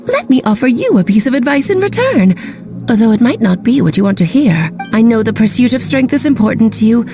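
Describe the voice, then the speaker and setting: A wry teasing advisor. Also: female voice, on the high side, big pitch swings, a little fast. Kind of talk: game voice acting. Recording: game audio with music.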